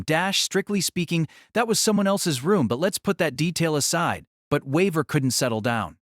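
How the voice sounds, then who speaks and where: A man's voice: fairly high pitch, no room sound, studio quality. A man reading scripted dramatic story narration as the narrator of an audio drama.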